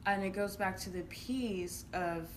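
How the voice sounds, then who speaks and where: A woman's voice: mid-range voice, a little slow. A woman from Uganda giving conversational interview answers in an earnest, explanatory manner, often checking in with "right?". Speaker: interviewed entrepreneur and youth programme coach. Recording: clearly noisy room ambience.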